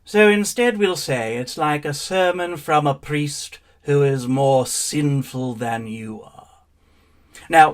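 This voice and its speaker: A man's voice: a little high, fairly bright tone. An actor playing a witty, world-weary elderly male raconteur in an arch, languid theatrical monologue. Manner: arch, languid, epigrammatic delivery. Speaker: actor in a one-man stage show.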